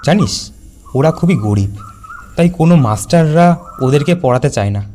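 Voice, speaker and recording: A man playing a worried, cautious young village schoolteacher in an animated horror story. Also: a little high, moderate pace, a little hiss or hum, close to an everyday voice. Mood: friendly, chatty. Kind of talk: acted cartoon dialogue.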